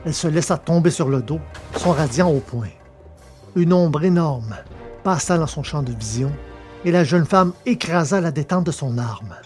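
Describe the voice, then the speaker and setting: A man narrating a science fiction audio drama in a steady, measured storytelling way. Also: medium pitch.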